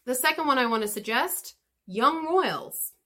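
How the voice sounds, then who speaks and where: Lively woman hosting an English podcast. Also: high-pitched, a little slow. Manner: lively, expressive, addresses listener directly. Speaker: English teacher and podcast host. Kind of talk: solo podcast lesson, chatty advice.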